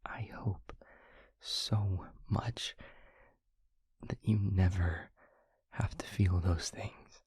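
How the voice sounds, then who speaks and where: A man playing a tender, haunted male vampire boyfriend in an intimate audio roleplay, speaking haltingly and earnestly in a breathy, husky hush. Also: deep voice, no room sound, exaggerated voice. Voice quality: breathy, husky near-whisper. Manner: halting, hushed, earnest delivery with heavy pauses.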